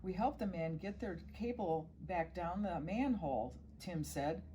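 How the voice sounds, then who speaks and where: Low-voiced woman reading a storybook, noisy recording. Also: animated, measured pace, dark tone. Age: middle-aged. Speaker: guest children's story reader. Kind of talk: reading a children's storybook aloud.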